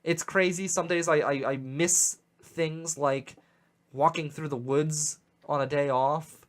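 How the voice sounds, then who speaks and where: Animated Pittsburgh radio host, opinionated. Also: male voice, on the high side, slow. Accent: Western Pennsylvania. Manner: animated, opinionated, repeats words when excited. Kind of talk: casual radio talk. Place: radio studio. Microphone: studio microphone.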